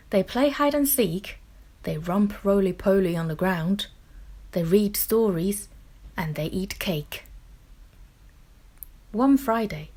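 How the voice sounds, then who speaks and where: A woman narrates a storybook for children at a slow, deliberate pace. Her voice is on the low side and quite husky, with expressive, dramatic phrasing.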